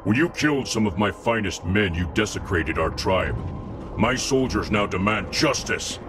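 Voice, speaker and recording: Vengeful game warlord played by a man: very low voice, wide dynamic swings, menacing and defiant, noisy backdrop. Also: expressive, normal speed, close to an everyday voice. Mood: furious. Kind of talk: video game cutscene acting. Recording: clear background noise under the voice.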